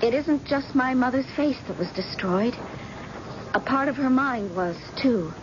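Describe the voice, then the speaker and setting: Grave, bitter daughter on noisy old radio. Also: female voice, high voice, expressive, dull audio. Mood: somber. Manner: deliberate, solemn storytelling. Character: grave, bitter grown daughter, guarded and deliberate. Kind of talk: old-time radio drama acting.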